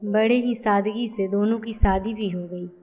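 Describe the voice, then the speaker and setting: A woman's voice: mid-range voice, fairly even intonation, measured pace, dark tone. A woman reading scripted narration for a cartoon family story, with music behind her.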